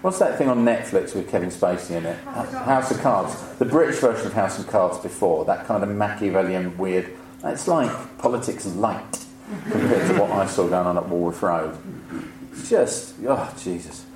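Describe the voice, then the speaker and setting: A man's voice: deep voice, normal speed. A man in his fifties telling stories informally to a live audience, with a sweary, wry, ranting manner. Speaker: comedian speaking on stage. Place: indoor event before an audience. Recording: echoey live room with clear background noise.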